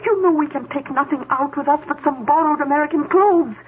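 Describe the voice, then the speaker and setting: A woman's voice: high voice, fairly quick, close to an everyday voice. An actress playing a scheming mistress who informs on her lover, in a 1970s radio drama, speaking urgently. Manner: urgent, controlling, pressing. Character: scheming younger woman, a scientist's mistress and informer. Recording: narrow, phone-like old radio broadcast.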